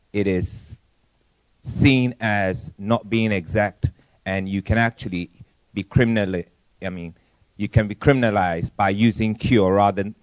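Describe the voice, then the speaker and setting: Deep-voiced man asking a measured question. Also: a little mellow, no room sound. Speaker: audience member asking a question. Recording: dull lo-fi conference recording.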